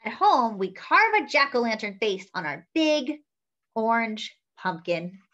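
Bright, high-pitched young woman reading to children. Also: a little slow. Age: young adult. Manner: animated, expressive, exaggerated delivery for children. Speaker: children's story-time reader. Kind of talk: picture book read-aloud for young children. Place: small room indoors at home. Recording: slightly dull webcam audio.

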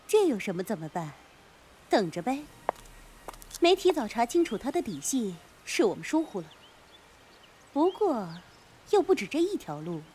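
An actress as a scheming female gang villain in an audio drama. Her voice is fairly high and lively in pitch, scornful and commanding. Faint noise sits behind it.

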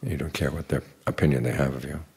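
An older man, a Buddhist monk, giving an evening dharma talk in an unhurried, plain teaching manner with dry humor. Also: fairly even intonation, a little mellow. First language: English. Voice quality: very deep gravelly voice.

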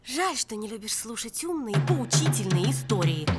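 Sassy female cartoon genie, high swooping voice, teasing schoolmarm airs, loud music underneath. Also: sing-song, normal speed. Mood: mock-instructive. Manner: teasing, theatrical, lecturing like a teacher. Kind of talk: animated film voice acting.

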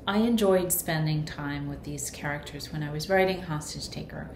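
A woman's voice: low voice, normal speed, a little mellow. A middle-aged woman author introducing her book to camera in an echoey kitchen with background noise. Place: home kitchen.

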